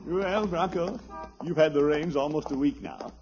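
An actor playing a pompous but good-natured middle-aged American uncle in a blustery 1950s radio comedy style. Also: male voice, on the high side, moderate pace, darkish tone, soft background music, natural voice. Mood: self-satisfied. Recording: muffled, noisy 1950s radio recording.

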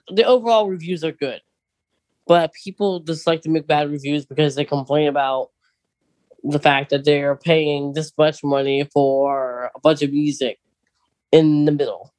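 A man's voice: on the high side, fairly even intonation, a little slow, a little mellow. A man co-hosting a podcast, talking casually and eagerly as a big fan, with plenty of ums. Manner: enthusiastic fan, talkative, many fillers. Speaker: podcast co-host.

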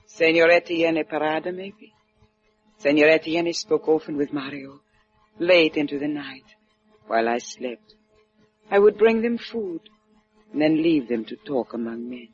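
An actress playing a proud, sorrowful Cuban wife, formal and melodramatic in a 1950s radio drama. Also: female voice, deep voice, unhurried, long pauses. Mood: sorrowful, cold. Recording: muffled old radio recording, music.

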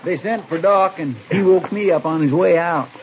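An actor playing a deferential, folksy deputy sidekick with homespun, respectful speech in a 1950s radio western. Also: male voice, fairly high pitch, moderate pace, lightly acted. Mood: matter-of-fact. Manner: respectful, homespun, says sir often. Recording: muffled, noisy, clicky old radio recording.

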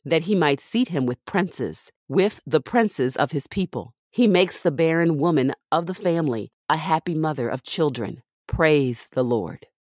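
A woman with a low-pitched voice reads scripture aloud for a devotional podcast. The recording is dry and close, with very little room sound around her voice. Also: lively intonation, unhurried, few pauses, darkish tone, no room sound. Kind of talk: scripted devotional Bible reading.

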